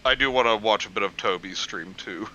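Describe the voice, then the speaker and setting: A man's voice: lowish voice, brisk, bright, forceful tone. A man in casual, joking banter, throwing in teasing, exclamatory remarks. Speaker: co-host. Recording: dull audio with faint noise.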